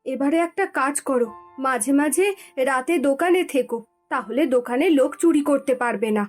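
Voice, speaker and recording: A woman acts out a caring, practical village wife in a cartoon folk tale. Her voice is extremely high and encouraging, with music behind it. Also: normal speed, a little mellow, natural voice. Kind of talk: animated moral tale voice acting.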